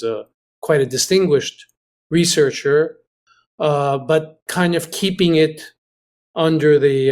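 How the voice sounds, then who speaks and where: A man from Greece speaking as an interview guest, recollecting conversationally and often pausing to find his words. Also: on the high side, darkish tone. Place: remote internet video call.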